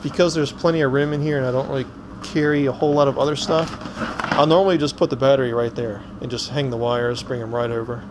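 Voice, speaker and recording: A man's voice: medium pitch, fairly even intonation, fast. A man casually walking through his gear item by item, as the host of a hands-on fishing vlog. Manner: informal, explaining item by item. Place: indoors in a cluttered garage. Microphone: head-mounted action camera. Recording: clicky, noisy action-camera audio.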